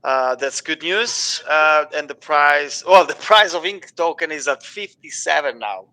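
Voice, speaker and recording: A man's voice: on the high side, animated, unhurried, sharp tone, rough recording. A man co-hosting a casual crypto livestream, explaining patiently but hesitating and restarting his sentences. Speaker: livestream co-host.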